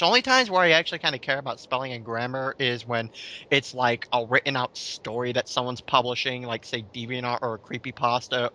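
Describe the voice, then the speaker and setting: A man's voice: medium pitch, bright, forceful tone. A man co-hosting a casual commentary chat in a rambling, emphatic, sweary way. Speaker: commentary video co-host.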